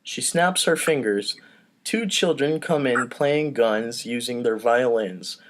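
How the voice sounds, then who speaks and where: Man, mid-pitched voice, podcast host, casual comedic table read, reading stage directions. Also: slow.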